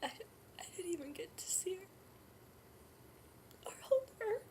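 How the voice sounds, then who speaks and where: A woman performing a dramatic stage monologue as a grief-stricken, guilt-ridden nineteen-year-old mother, speaking haltingly and often in a breathy hush. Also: fairly high pitch, close to an everyday voice. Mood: grieving, quiet. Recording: noisy amateur room recording.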